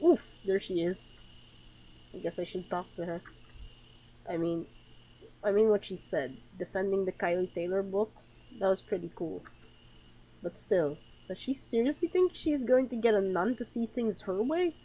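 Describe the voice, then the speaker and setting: A woman with a medium-pitched voice commentates a game playthrough. She reads the text aloud and reacts expressively, often with sarcasm. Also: moderate pace, warm tone, rough recording. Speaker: game streamer.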